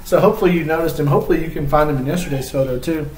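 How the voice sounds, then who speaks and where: A man's voice: fairly high pitch, racing, darkish tone, a little hiss or hum. A man in his thirties hosting a children's read-aloud with friendly, casual talk, recorded indoors. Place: small living room, indoors. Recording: roomy indoor echo.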